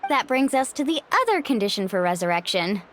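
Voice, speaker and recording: A woman's voice: mid-range voice, very animated, fairly quick, slight background noise. A woman playing a confident, friendly young warrior guide in a video game, breezy and encouraging. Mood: explaining, assured. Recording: soft music behind the voice.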